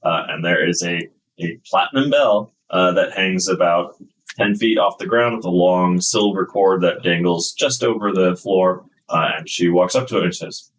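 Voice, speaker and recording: A man's voice: sits low, moderate pace, recorded from a distance, poor audio. A man narrating a tabletop game as game master, explaining at length with many fillers.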